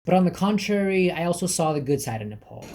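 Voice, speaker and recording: A man's voice: sits high, big pitch swings, fast talker, a little hiss or hum. A young man narrating a personal documentary voice-over in an earnest, reflective way, with many "you know" fillers. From United States. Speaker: vlogger. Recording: voice-over under loud guitar music.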